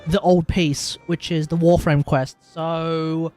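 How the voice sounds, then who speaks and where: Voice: medium pitch, flat delivery, takes it slowly, darkish tone, soft background music. Chatty Australian streamer, rambling aloud. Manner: rambling, self-correcting, chatty. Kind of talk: casual live-stream commentary.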